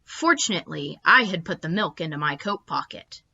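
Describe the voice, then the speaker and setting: A woman's voice: sits low, lively intonation, moderate pace, gravelly, pushed and bright, heavily acted. A woman voicing a whimsical, rambling dad who tells a tall tale in a hesitant, theatrical manner, on a slightly dull recording. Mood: wondering. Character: whimsical, rambling middle-aged British dad telling tall tales.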